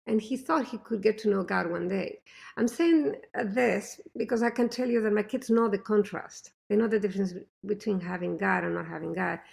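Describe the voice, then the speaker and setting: A woman with a thick foreign accent, speaking earnestly as a parent guest in an interview, often pausing and restarting. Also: mid-range voice, gravelly. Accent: non-native English accent. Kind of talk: candid conversational interview.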